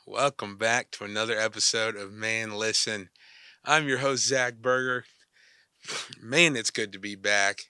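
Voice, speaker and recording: A man's voice: fairly low pitch, measured pace, clean tone, no echo, studio quality. Stuffy young man hosting a sports show. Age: young adult. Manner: energetic, chatty, loose slang. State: stuffy from a cold. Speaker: podcast host. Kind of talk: casual sports talk.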